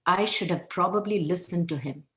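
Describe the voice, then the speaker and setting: A woman's voice: on the low side, normal speed, a bit bright, close to an everyday voice. A woman reading the part of an anxious but determined teenage girl athlete in a play script. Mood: worried. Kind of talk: table read of a play script.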